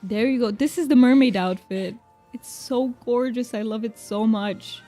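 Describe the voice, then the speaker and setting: A woman's voice: a little high, moderate pace, dark tone, faint music behind. Chatty Romanian-native woman streamer. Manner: chatty, reassuring, laughs often, many restarts. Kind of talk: casual game stream chat.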